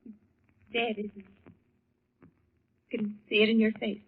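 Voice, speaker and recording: An actress playing an anxious, pleading young bride-to-be in a vintage radio thriller. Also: female voice, a little high, little pitch movement, measured pace, breathy edge, exaggerated voice. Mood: fearful. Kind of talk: 1940s radio thriller acting. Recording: muffled vintage radio recording.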